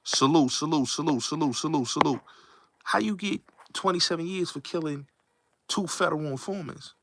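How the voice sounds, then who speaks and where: A man's voice: on the high side, moderate pace, a bit bright, a little hiss or hum. A man in his late twenties hosting a casual livestream, speaking emphatically and repeating himself as he addresses viewers directly. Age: late 20s. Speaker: host. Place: New York.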